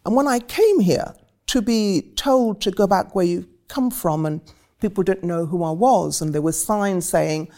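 A woman's voice: mid-range voice, unhurried, warm tone. A woman in her seventies from Trinidad, a guest telling her life story in vivid, rhetorical phrases. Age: 70s. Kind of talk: reflective personal storytelling.

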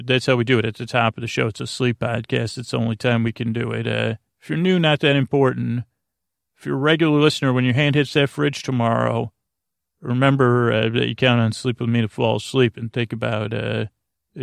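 A man with a gravelly, creaky voice delivers a soothing bedtime monologue as a podcast host. His pitch stays quite flat while he meanders gently, doubling back and stumbling over words. The sound is close and dry. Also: no echo. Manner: meandering, hesitant, often repeats and trails off mid-word; soothing, lulling. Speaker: host and creator of a sleep podcast. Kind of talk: rambling bedtime monologue, talking gently to the listener.

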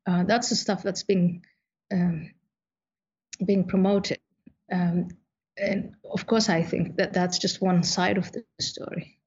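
Husky-voiced woman from Somalia. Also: fairly low pitch, level tone, a little mellow, highs cut off. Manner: measured, thoughtful, with many uh fillers. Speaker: guest author. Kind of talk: interview answers.

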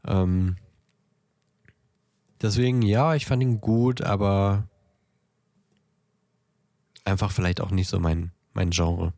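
A low male voice in a dry, close recording. He talks casually as a podcast co-host, feeling his way through sentences with halting repetitions. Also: steady tone, warm tone, no room sound. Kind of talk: casual chat about films.

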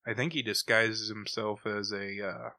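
A man's voice: lowish voice, little pitch movement, measured pace, pushed and bright, dry recording, clean studio sound. A man co-hosting a podcast, reviewing an episode in a casual, joking style. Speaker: podcast co-host.

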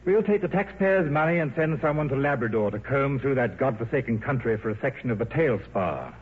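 An actor playing a gruff, sardonic senior English boss in a 1950s radio drama, brusque and commanding. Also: male voice, medium pitch, lively intonation, a little fast, narrow, tinny audio, a little hiss or hum, close to an everyday voice. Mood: sardonic, exasperated. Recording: thin, band-limited 1950s radio recording.

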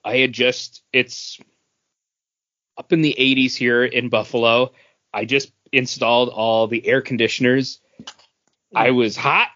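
A man's voice: medium pitch, level tone, unhurried, pauses often, sharp tone. A man talking informally and slangily as a co-host on a casual podcast recorded over an internet call. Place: remote voice call from home. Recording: dull lo-fi internet call audio.

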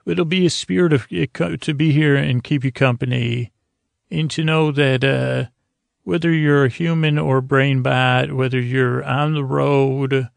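Creaky, gravelly man rambling at bedtime. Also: mid-range voice, no echo. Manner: droning, halting, circling back with stammered repeats. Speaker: podcast host. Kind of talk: rambling, meandering bedtime monologue meant to lull listeners to sleep.

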